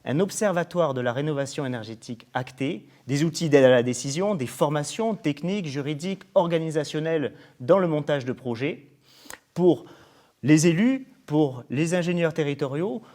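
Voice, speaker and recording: A man's voice: a little high, normal speed, a little mellow. A man presenting a programme in an interview, formal and explanatory, often going through points as lists. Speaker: programme coordinator being interviewed.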